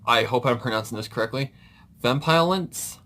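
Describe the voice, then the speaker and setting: A man's voice: medium pitch, moderate pace, fairly bright tone. A man hosting a reaction video, chatting casually off the cuff in a hesitant, rambling way as he thinks aloud.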